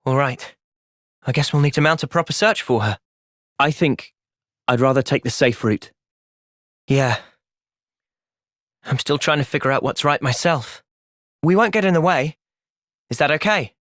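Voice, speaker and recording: A young man playing an earnest, thoughtful young soldier hero in a fantasy video game's cutscenes, with expressive delivery. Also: on the high side, normal speed, pauses often, no echo, clean studio sound. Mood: calm, thoughtful. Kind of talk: video game cutscene acting.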